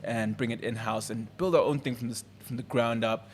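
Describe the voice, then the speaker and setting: A man from Zambia, a creative director guest talking in a calm, measured way. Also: mid-range voice, slight background noise. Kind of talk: casual interview talk.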